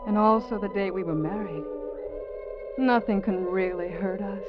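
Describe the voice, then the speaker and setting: An actress playing a young wife's ghostly voice that calls to her husband softly and dreamily, in 1940s radio drama style. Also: medium pitch, fairly even intonation, normal speed, natural voice. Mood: tender. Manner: soft, dreamy and beckoning. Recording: dull old radio recording over music.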